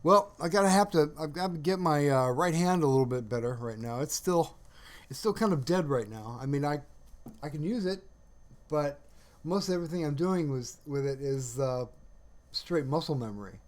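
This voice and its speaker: A man chatting casually on a livestream in a halting, rambling way, often searching for words. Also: on the high side, animated. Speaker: live streamer.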